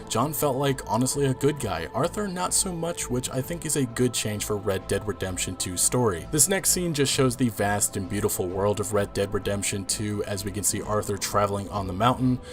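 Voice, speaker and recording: A man giving breakdown commentary on a video game trailer. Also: on the low side. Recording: music behind the voice. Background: music.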